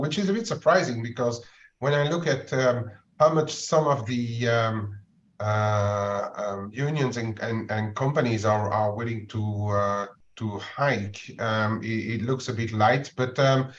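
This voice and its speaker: A man co-hosting a markets chat, talking haltingly with many fillers and restarts. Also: mid-range voice, far from the mic, highs cut off. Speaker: markets show co-host.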